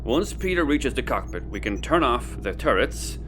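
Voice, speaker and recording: A man's voice: mid-range voice, very animated, moderate pace, fairly bright tone, soft background music. An actor playing a level-headed, firm and practical spaceship crewman in a sci-fi audio comedy. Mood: exasperated.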